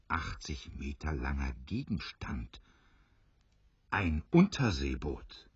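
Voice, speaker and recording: A man narrating an adventure radio play as a grave, expressive storyteller who builds suspense. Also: a bass voice, husky, no room sound, full character voice. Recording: slightly dull, dry studio recording.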